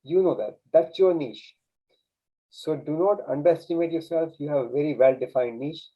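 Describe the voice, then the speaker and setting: A man's voice: fairly high pitch, warm tone. A man whose first language is Urdu, a guest coach telling a story in an earnest, casual way with many restarts. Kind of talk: casual interview chat. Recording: dull lo-fi sound.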